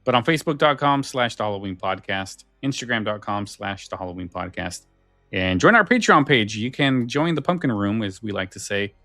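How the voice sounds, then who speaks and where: A man hosting a podcast in casual chat, halting a little and correcting himself as he goes. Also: on the low side, expressive, gravelly, pushed and bright, no room sound, clean studio sound, faint music behind. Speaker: podcast host. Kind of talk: casual chat and show sign-off.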